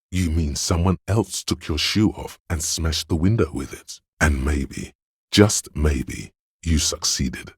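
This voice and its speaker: Analytical inner voice, extremely low bass, crisp and resonant, deadpan, measured, precise game narration. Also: male voice, dry recording, studio quality, full character voice. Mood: dry, sardonic. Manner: measured, deliberate, dryly deadpan. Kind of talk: scripted game narration, second person.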